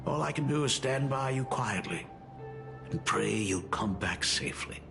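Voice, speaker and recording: An actor playing a gentle, ageing artist father and academy dean in a video game, speaking solemnly and tenderly with hushed, breathy phrases. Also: mid-range voice, expressive, moderate pace, music in the background. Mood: wistful storytelling. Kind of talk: dramatic video game voice acting.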